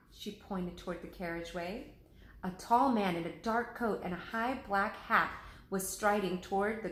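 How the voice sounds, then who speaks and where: A woman, a teacher, reading a children's chapter book aloud with lively, expressive voices. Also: medium pitch, vocal fry, echoey room.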